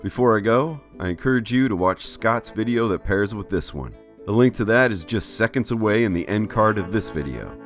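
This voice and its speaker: A man's voice: fairly low pitch, expressive, moderate pace, faint music behind. A middle-aged man hosting an art vlog with scripted narration. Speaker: host, artist.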